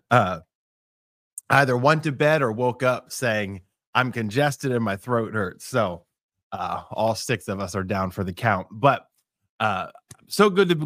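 A man's voice: on the low side, fairly bright tone, no room sound, studio quality. A middle-aged man with a cold hosting a casual morning live stream, rambling with many restarts and fillers. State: congested with a cold.

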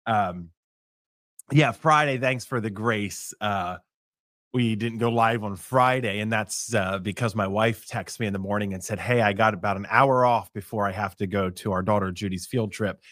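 A man's voice: on the low side, normal speed, a bit bright, no room sound, clean studio sound. Congested man hosting a morning live stream. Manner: rambling, with many restarts and fillers. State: congested with a cold. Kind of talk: casual live-stream devotional chat.

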